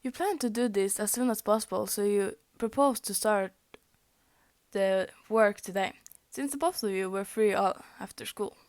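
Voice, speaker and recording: A woman's voice: mid-range voice, normal speed, darkish tone, no echo. A young woman whose first language is Norwegian, reading fan fiction aloud, often tripping over words and laughing at her slips. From Norway. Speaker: fanfic reader.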